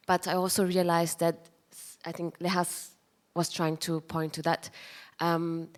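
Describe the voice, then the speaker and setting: A woman moderates a panel discussion in a slightly low voice. She speaks in a thoughtful way, building her way towards a question. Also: flat delivery, unhurried.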